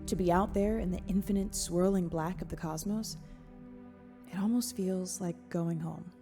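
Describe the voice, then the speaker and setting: An actress playing a calm, wise computer-program daughter in an audio drama, serene and gently detached. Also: female voice, lowish voice, moderate pace, close to an everyday voice. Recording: long hall reverb with music.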